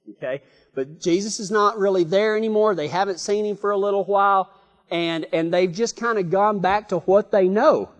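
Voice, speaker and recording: A man with a Texan drawl preaches in a loose, storytelling way, restarting his sentences and chuckling as he goes. His voice sits high for a man and rises and falls, and it carries a long echo from a big hall. The tone is plain and folksy. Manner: rambling, self-correcting, chuckling storyteller.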